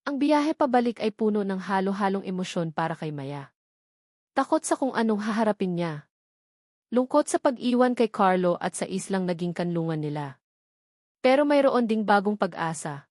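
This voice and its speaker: A woman's voice: mid-range voice, animated, moderate pace, pauses often, a little mellow, no room sound, clean studio sound. A woman with a Filipino accent reading a scripted story as a dramatic audiobook narrator.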